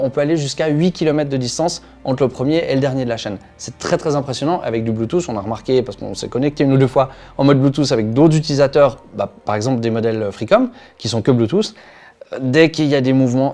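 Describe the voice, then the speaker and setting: A man's voice: mid-range voice, darkish tone. A man co-hosting a motorcycle channel, explaining a product in a casual, enthusiastic way. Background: background music.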